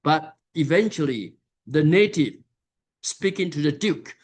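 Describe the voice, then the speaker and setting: A man delivers an academic conference talk at a slow, careful pace. His voice sits a little high for a man, and he often pauses with fillers as he explains. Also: animated, breathy edge. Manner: unhurried, many fillers and pauses. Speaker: conference keynote speaker. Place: conference hall.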